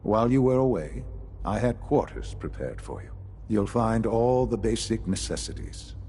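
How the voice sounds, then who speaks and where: An actor playing a calm, calculating older institute leader in a video game, speaking formally and courteously. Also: male voice, lowish voice, moderate pace, slight background noise, lightly acted. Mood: calm, approving.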